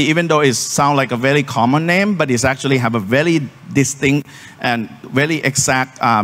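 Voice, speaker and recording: A man from Thailand, a Thai native speaker, giving a startup conference talk on stage into a handheld mic, halting and earnest, with many ums and restarts. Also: mid-range voice, fairly bright tone. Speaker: startup founder presenting on stage. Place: conference venue hall. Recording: hall reverb from a live venue.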